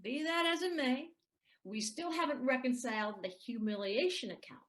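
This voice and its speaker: A woman's voice: high voice, normal speed, close to an everyday voice. A woman playing a bitter, hard-drinking ex-wife in an audio play, taunting and sardonic. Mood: bitter, angry.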